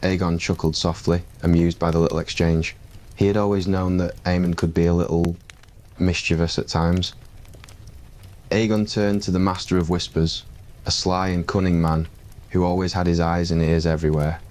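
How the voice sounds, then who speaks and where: A man narrating an ASMR roleplay story in a calm, even storytelling voice. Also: low-pitched, moderate pace.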